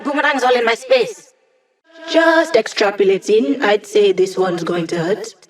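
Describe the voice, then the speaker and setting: Video game mission-support hacker, female, young: wry, conversational, quick with quips. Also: fairly high pitch, lively intonation. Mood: wry, playful.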